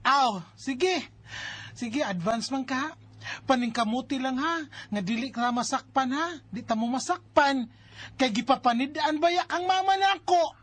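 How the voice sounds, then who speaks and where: A woman's voice: high-pitched, measured pace. A woman playing a worried, protective older sister with a Filipino accent in a radio drama, emphatic and exasperated. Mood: scolding.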